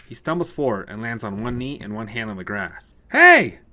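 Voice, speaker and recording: A man's voice: fairly low pitch, brisk, a bit bright, a little hiss or hum. A man reading a scripted short story as narrator, told in the present tense.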